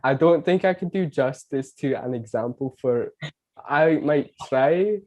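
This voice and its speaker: Brief: Scottish-accented male podcast host, chatty, mellow warm tone. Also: medium pitch, measured pace, highs cut off. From Canada. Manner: chatty, laughs between phrases. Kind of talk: casual conversation.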